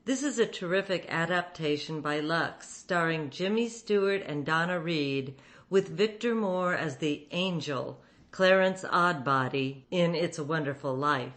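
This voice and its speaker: Low-pitched woman hosting a show. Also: unhurried, a bit bright. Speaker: podcast host. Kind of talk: scripted introduction.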